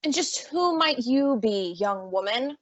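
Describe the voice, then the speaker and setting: A stern, officious judge played by a woman in an old-time radio comedy. The voice is high and formal, with stuffy exasperation. The sound is very dry, with no room to it. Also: animated, a little slow, no echo, highs cut off. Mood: exasperated.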